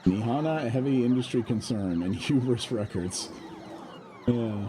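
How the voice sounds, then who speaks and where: A man's voice: mid-range voice, measured pace, background noise. A man giving casual, wry, offhand commentary as he plays through a game on stream. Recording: faint game music behind.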